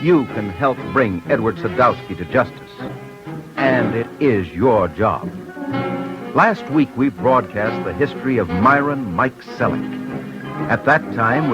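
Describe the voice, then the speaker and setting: A man hosting an old-time radio crime program, reading a script in a grave, urgent manner with direct appeals to listeners. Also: medium pitch. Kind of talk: mid-century radio scripted narration. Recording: muffled old radio broadcast with music. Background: music.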